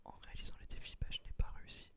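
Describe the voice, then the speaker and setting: A man whispering ASMR gameplay commentary in a breathy voice, explaining things casually with slang. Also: rapid-fire. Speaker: gaming video creator.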